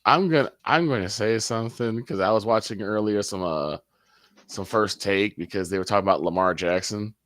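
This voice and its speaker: A man's voice: lowish voice, fairly quick, fairly bright tone, dry recording. A man co-hosting a sports stream, chatting casually and teasing with questions. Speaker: co-host.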